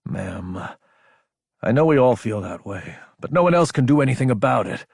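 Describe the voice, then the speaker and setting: A man's voice: mid-range voice, brisk, a little mellow, breathy edge, no echo, clean studio sound. A man voicing an intense, anguished young military officer in an audiobook, insubordinate and emphatic. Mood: frustrated, helpless. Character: young male military officer, fierce, anguished, self-doubting. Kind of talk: audiobook character acting.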